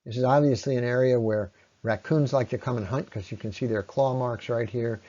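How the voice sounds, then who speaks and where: A man presenting an explanatory slide talk in a conversational, unscripted way, with halting phrasing and frequent pauses. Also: medium pitch, dark tone, lo-fi sound. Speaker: presenter, ecology lecturer.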